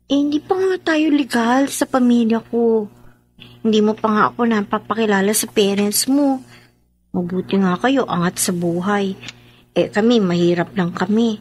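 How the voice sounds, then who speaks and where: A woman's voice: mid-range voice, normal speed, darkish tone, breathy edge, close to an everyday voice. A woman with a Filipino accent playing an emotive, wheedling young working-class daughter and sister in a radio drama. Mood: tender, pleading.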